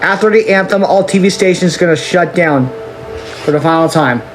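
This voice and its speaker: Man acting as halting, higher-voiced doomsday government official. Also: soft background music, close to an everyday voice. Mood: solemn, resigned. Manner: solemn, halting, stumbling over words, repetitive. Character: US government official solemnly announcing the world's end. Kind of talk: amateur emergency broadcast acting.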